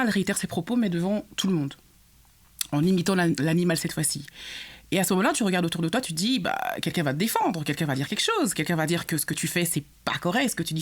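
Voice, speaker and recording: A young woman from Congo recounting her experiences in a podcast conversation, animated and full of hesitations and restarts. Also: fairly low pitch. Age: young adult. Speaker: guest sharing her experience.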